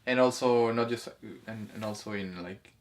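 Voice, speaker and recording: A man co-hosting a casual review conversation, speaking haltingly and rephrasing as he goes. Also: lowish voice. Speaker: co-host of a movie review. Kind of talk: casual conversational discussion of film and spirituality.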